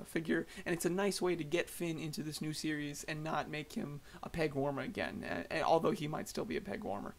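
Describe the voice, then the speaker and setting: A slightly hoarse man giving casual, rambling voice-over thoughts on collectible figures, with many fillers and restarts. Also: a little high. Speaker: toy collector vlogger.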